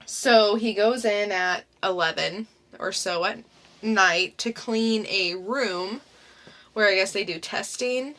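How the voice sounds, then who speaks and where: Slow-talking bright young woman co-host. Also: mid-range voice. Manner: unhurried, chatty, summarizing plots. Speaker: podcast co-host. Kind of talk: casual comic review chat.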